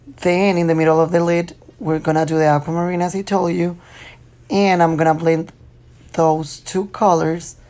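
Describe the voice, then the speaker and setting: A young man from Colombia, a native Spanish speaker with a Colombian Spanish accent, talking through a makeup tutorial in chatty, slightly halting English. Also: on the high side, darkish tone. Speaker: makeup vlogger. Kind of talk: casual makeup tutorial talk.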